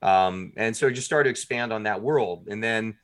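A man being interviewed as a guest, telling stories casually in a self-deprecating, animated way with quoted asides. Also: fairly low pitch, moderate pace.

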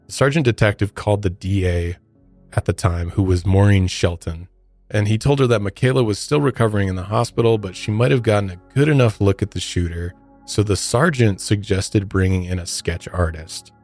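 A man's voice: lowish voice, moderate pace, faint music behind. An American man hosting a podcast, telling a true crime story in a conversational way with informal asides. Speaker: podcast host.

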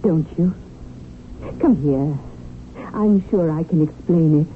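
An actress playing a poised, scheming, seductive widow in a 1970s radio drama, with a self-assured, insistent manner. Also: female voice, low voice, takes it slowly. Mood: seductive, urging. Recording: tinny, noisy old radio broadcast.

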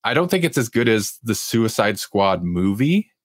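A man's voice: lowish voice, sing-song, normal speed, no echo. Casually rambling man with a dry, close sound. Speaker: podcast co-host reviewing TV series. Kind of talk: casual chat.

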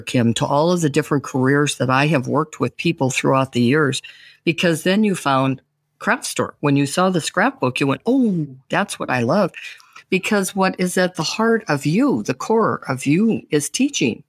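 A man hosts a casual interview, talking at length and sounding enthusiastic and encouraging. His voice sits a little higher than most men's. The sound is dry and close. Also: animated, moderate pace, husky, dry recording. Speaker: podcast host.

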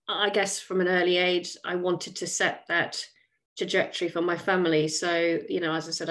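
Lower-voiced woman in her forties, reflective. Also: low-quality recording. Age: 40s. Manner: thoughtful, steady, with frequent fillers and restarts. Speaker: guest, chief executive. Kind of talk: reflective interview answers.

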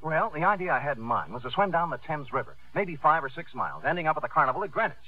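An eager young promoter pitches with excitable, persuasive patter in 1950s radio drama style. His highish voice swoops up and down, heard through a thin, tinny old broadcast. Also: racing. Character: eager young fast-talking showman promoter, brash and persuasive. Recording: tinny, band-limited old radio recording.

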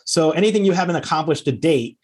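A man's voice: fairly high pitch, expressive, fast, husky. A man hosting an interview, speaking in an encouraging, advice-giving way and asking his guest thoughtful questions. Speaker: host. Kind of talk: conversational interview.

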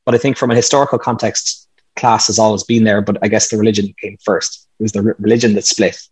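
A man's voice: on the low side, fairly even intonation, fast talker, a little mellow, husky. A man talking earnestly in a casual interview, explaining at length with some restarts. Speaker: podcast host, interview guest.

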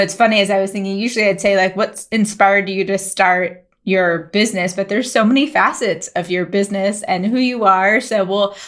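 A woman hosting a wellness podcast, reading her intro and asking questions in a bright, upbeat, enthusiastic way. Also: mid-range voice. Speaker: podcast host and company founder.

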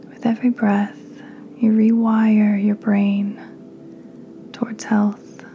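A woman hosting a guided meditation in a soft, breathy, near-whispered voice, calm and soothing with unhurried pauses. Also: mid-range voice, slight background noise. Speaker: podcast host, meditation guide.

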